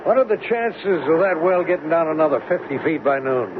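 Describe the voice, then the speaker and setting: An older American actor playing a gruff, impatient veteran oil boss in a radio drama, grumbling and blunt. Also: male voice, on the high side, expressive, fairly quick, lightly acted. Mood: barking orders. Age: older, around 60. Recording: dull lo-fi old radio recording, faint noise.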